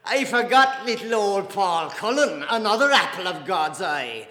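A man's voice: sits high, expressive, unhurried, bright, forceful tone, pitched above the performer's own voice, full character voice. A man voicing a coarse, blustery Irish father in a storybook reading, scoffing expansively and sardonically. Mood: mocking. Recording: echoey hall reverb.

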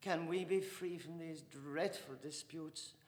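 A man's voice: fairly high pitch, fairly even intonation, slow pace, voice pushed up. A man voicing a weary, pleading middle-aged mother, with an echoey room and a long reverb.

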